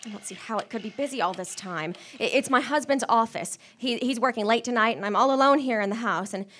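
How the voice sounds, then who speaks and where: A woman's voice: a little high, fast talker, fairly bright tone. A woman playing a nervous, sickly, demanding wife in an old-time radio suspense play, fretful and stammering. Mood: anxious, fretful. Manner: fretful, stammering, breathless urgency. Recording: live stage radio play recording.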